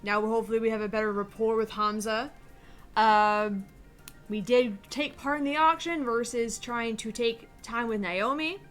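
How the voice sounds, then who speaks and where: Woman co-host, bright and a bit high, swears freely and laughs through the recap. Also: steady tone, moderate pace, faint music behind. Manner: profane, laughing, recaps the story loosely. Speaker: co-host of a gaming stream. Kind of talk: casual banter while playing a game.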